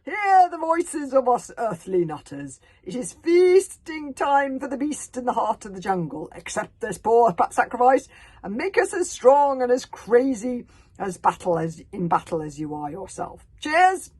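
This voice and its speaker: A woman voicing a crazed old male chief who bellows slow, drawn-out proclamations. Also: a little high, big pitch swings, darkish tone, breathy edge, no echo, voice pushed up, full character voice. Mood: ranting.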